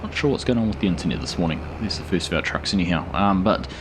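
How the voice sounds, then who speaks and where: A hoarse man talks through a farming game in a relaxed, rambling way, filling gaps with plenty of ums and uhs. His voice sits very low. A steady hum of noise runs under the recording. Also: quick pace. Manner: relaxed, rambling with frequent fillers. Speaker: gaming channel host. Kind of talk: casual gameplay commentary.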